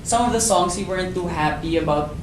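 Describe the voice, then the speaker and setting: A man's voice: sits high, brisk. A man reporter asking a singer a careful, detailed question at a press conference. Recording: echoey distant room, noisy.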